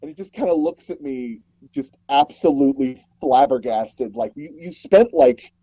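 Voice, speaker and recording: Mellow-voiced man telling anecdotes, dull lo-fi sound. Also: medium pitch, moderate pace, dry recording. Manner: animated retelling, acting out quoted dialogue. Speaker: interview guest, audio drama producer and director. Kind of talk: casual anecdotal interview storytelling.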